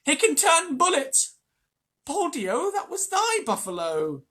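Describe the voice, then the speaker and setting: A storyteller voicing an angry village crowd hurling shrill accusing shouts. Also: wildly swooping pitch, slow, exaggerated voice. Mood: shouting.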